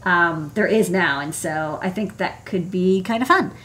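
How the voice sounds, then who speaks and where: A woman's voice: fairly low pitch, unhurried, a bit bright, a little hiss or hum. Female American engineer for a casual tech stream, chatty and informal, wandering into asides as she explains. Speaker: electronics engineer presenting her work. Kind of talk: casual livestream tech talk. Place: indoor desk workspace.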